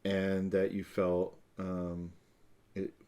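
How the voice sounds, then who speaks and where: Man, booming voice, very low, deliberate talk show co-host. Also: completely flat delivery, dark tone. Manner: slow, deliberate mirroring with fillers. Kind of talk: live relationship talk show, role-play of a conflict repair.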